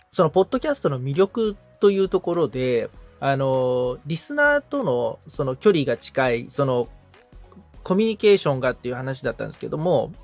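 A man working as a podcast assistant, casually asking questions and thinking his way through them hesitantly. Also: mid-range voice. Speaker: podcast assistant, guitar repairman.

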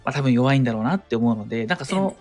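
A humble, courteous man guests on a casual live stream. His pitch moves around a lot and he speaks rapidly, over faint music. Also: expressive. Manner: polite, humble.

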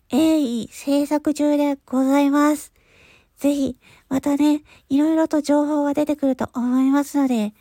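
A woman's voice: sits high, warm tone, no echo, clean studio sound. A woman hosting her own audio channel, making a casual but polite announcement to listeners in hesitant phrases. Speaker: channel host and voice actor.

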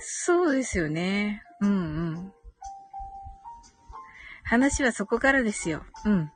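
A woman's voice: medium pitch, lively intonation, slow pace, slightly rough, soft background music, low-quality recording. A woman hosting a casual live audio chat, giggly and chatty, reading out comments and murmuring agreement. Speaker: host of a live audio stream.

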